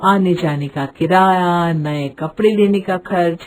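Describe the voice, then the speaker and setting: A woman's voice: lowish voice, takes it slowly, darkish tone. A woman narrator reading a scripted moral family story.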